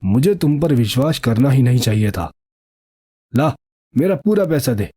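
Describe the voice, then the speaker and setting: A man voicing a gruff, money-minded restaurant owner in an animated story, scornful, dismissive and scolding. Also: mid-range voice, moderate pace. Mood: angry, insulting.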